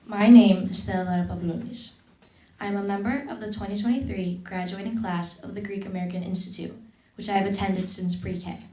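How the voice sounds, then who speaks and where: A woman's voice: mid-range voice, roomy sound, slight background noise, rough recording. A teenage girl reading a formal, earnest thank-you speech as a scholarship recipient at an event. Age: teens. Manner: formal, earnest, steady delivery. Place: event hall.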